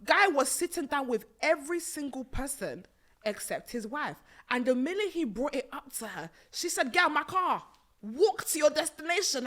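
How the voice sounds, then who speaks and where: Animated woman podcast host, bright voice. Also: high-pitched. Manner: animated and emphatic, bursts of laughter. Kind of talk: casual chat reviewing a reality show. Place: small purple-lit studio set on a sofa. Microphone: studio mic on a boom arm.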